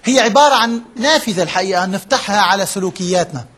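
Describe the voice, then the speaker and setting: A man's voice: sits high, quick pace, fairly bright tone, slightly rough. A man leading a workshop, explaining step by step, checking in with his listeners and mixing English terms into his talk. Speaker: workshop trainer. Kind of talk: interactive lecture to a live group.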